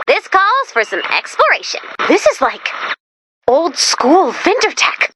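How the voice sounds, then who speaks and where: High, animated, chipper robot helper. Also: female voice, a little hiss or hum, heavily acted, rough recording. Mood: intrigued, serious. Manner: eager, quirky, expressive. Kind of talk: video game character voice lines.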